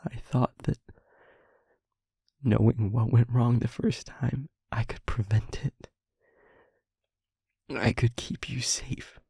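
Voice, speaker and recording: A man playing a tormented, remorseful demon in love, in an intimate audio roleplay, speaking haltingly in a breathy, husky, often whispered voice and pleading. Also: medium pitch, fairly even intonation, warm tone, no room sound, clean studio sound, full character voice. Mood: tender, sorrowful. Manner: slow, halting, emotionally pleading.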